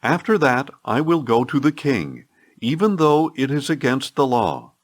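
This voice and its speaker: A man voicing a resolute, courteous queen in an audiobook scripture reading, measured and earnest. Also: mid-range voice, big pitch swings.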